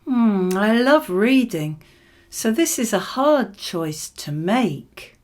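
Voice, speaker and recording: A woman reading scripted model answers for a spoken exam, with measured, clearly phrased delivery. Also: on the high side, sing-song.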